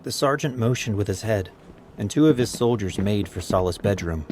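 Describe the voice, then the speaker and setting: Male audiobook narrator, low register, dramatic scripted delivery over clearly audible ambient noise. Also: animated, normal speed, a little mellow.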